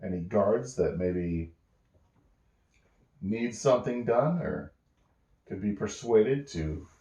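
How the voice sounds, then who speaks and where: Man playing a low-voiced, evasive schemer. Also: expressive, takes it slowly, mellow. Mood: evasive, hinting. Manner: hesitant, roundabout, hinting. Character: evasive, cautious male schemer probing for information. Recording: roomy, echoey home recording.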